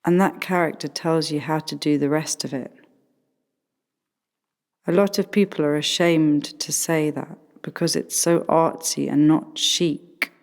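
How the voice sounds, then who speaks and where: A woman playing a self-assured artsy character in a reflective radio play monologue, speaking in short, deliberate, repeated phrases. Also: low-pitched, fairly even intonation, a little mellow. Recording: long hall-like reverb, faint music.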